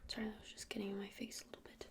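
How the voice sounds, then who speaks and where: A woman whispering softly and breathily in an ASMR roleplay as a friendly witch shopkeeper, explaining things in a gentle, hesitant, rambling way. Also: mid-range voice, completely flat delivery, racing. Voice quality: breathy unvoiced whisper with creaky edges. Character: friendly witch shopkeeper selling crystals and incense. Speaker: ASMR roleplayer playing a crystal shop witch.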